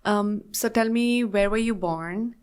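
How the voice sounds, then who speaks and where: A woman's voice: medium pitch, a little slow, darkish tone, clean tone. A woman hosting a podcast interview, encouraging her guest with frequent backchannels. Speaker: podcast host.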